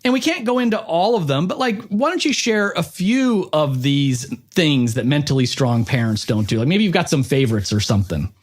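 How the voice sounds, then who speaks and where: A man's voice: a little high, big pitch swings, moderate pace, few pauses. A middle-aged man hosting an interview in a casual, chatty, informal way. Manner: chatty, self-referential, informal with frequent 'like' and 'you know'. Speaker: podcast interviewer, host.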